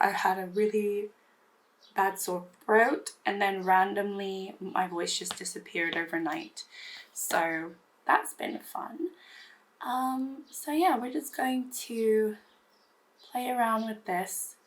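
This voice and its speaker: Woman, hoarse and gravelly from recent voice loss, casual rambling beauty vlogger. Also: mid-range voice, slow, poor audio. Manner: rambling, self-deprecating, many fillers and restarts. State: recovering from lost voice, hoarse. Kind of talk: casual get-ready-with-me chat.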